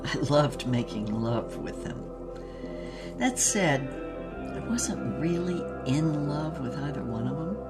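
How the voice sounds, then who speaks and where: An elderly woman, a former screen siren telling her scandalous memoir in an audio drama. She speaks very slowly, with theatrical wistfulness and worldly ease, over prominent music. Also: low voice, expressive, full character voice. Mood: wistful, fond. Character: elderly woman film star recalling scandalous love affairs. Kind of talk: dramatic first-person storytelling.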